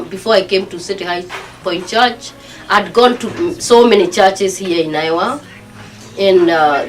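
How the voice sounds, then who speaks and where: A middle-aged woman with a Kenyan accent gives a personal testimonial about herself. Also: medium pitch. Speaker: church member giving testimonial. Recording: distant mic, faint music.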